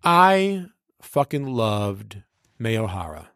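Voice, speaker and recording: A man's voice: lowish voice, very animated, slow, fairly bright tone, clean tone, no echo. Emphatic man reminiscing, close and dry. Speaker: radio personality reminiscing. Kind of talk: casual conversational reminiscence.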